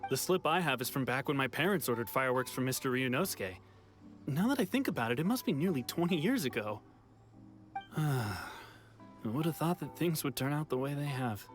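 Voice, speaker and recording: An uneasy young man, voiced by an actor, speaking in a plain mid-pitched voice, soft and hesitant. Faint game music plays under him. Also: animated, normal speed, natural voice. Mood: uncertain. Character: uneasy young man smuggled home, unsure.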